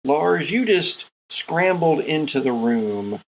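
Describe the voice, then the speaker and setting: A man hosting and narrating a tabletop role-playing game as game master, with theatrical, deliberate pauses. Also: on the high side, lively intonation, poor audio.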